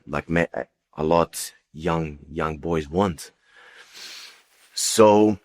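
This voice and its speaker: Low-voiced man giving halting personal testimony. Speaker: interview guest giving testimony. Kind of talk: personal testimony, unscripted storytelling.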